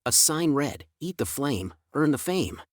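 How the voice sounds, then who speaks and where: A man's voice: fairly high pitch, sing-song, a little slow, dry recording, clean studio sound. A man narrating a scripted fantasy story in a dramatic, ornate storyteller manner. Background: music.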